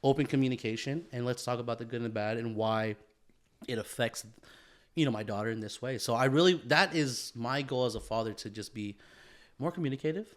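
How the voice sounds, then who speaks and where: A man's voice: mid-range voice, fairly quick. A man, a father, co-hosting a podcast in casual chat, earnest and reflective, often restarting as he searches for words and agreeing with repeated "yeah". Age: young adult to middle-aged father. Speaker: podcast co-host.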